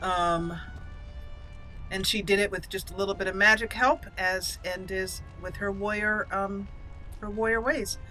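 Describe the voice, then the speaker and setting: A woman gamer talks her way through a let's play, thinking aloud. Her voice is bright and forward at a middle pitch. Soft game music sits behind her. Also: steady tone, unhurried, low-quality recording. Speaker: gamer host, role-player.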